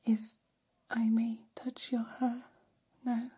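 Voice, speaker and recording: A woman voicing a gentle, formal alien caretaker in an ASMR roleplay, whispering softly with slow, deliberate phrasing and long pauses. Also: on the high side, monotone, heavily acted. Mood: tender, reassuring. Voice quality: soft, breathy whisper. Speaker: ASMR performer. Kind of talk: ASMR roleplay, personal attention.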